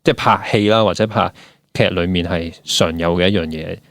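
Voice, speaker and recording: A man's voice: low voice, fairly even intonation, fairly quick, vocal fry, fairly bright tone, no room sound, clean studio sound. A man with a Hong Kong accent hosting a conversational interview, explaining things and mixing in English words. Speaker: podcast host interviewing a guest.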